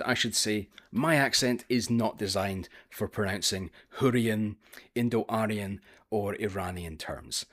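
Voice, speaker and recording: A man with a Scottish accent, presenting a scripted history video in a careful, explanatory way. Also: medium pitch. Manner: careful, explanatory, with occasional filler "uh". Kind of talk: scripted educational narration.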